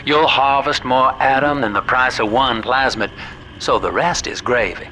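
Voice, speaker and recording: A man acts a slick schemer advising the hero in a video game. His bright, medium-pitched voice is folksy and drily persuasive. There is faint noise on the message. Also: steady tone, normal speed, natural voice, poor audio. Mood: cajoling. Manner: folksy, cajoling, dry.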